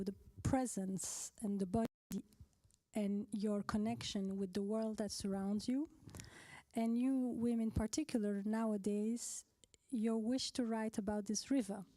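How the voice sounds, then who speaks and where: A woman's voice: medium pitch, warm tone, dry recording. A woman moderating a literary talk, putting thoughtful, open questions to her guests.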